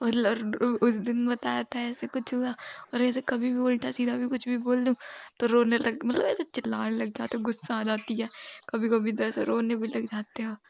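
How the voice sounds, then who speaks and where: A woman's voice: fairly high pitch, monotone, normal speed, creaky, a little mellow. A young woman talking casually with her partner on a phone call. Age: young adult. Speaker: girlfriend making a prank call. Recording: dull phone call recording, faint noise.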